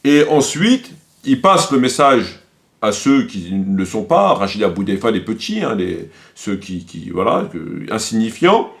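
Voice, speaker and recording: Man giving halting, polemical commentary. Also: fairly low pitch, very animated, a bit bright. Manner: halting, repeats words while searching; polemical, didactic, step-by-step explaining. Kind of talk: unscripted religious-political commentary.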